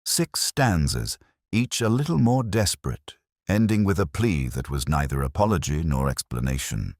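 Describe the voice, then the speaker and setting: An exceptionally deep male voice narrates a literary mystery in the first person. His delivery is brooding and measured, yet lively in its rise and fall. The sound is close and dry, free of room echo.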